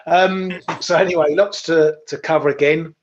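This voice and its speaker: A man hosting a casual markets show, relaxed and wry, with plenty of ums. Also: fairly high pitch, moderate pace, muffled recording.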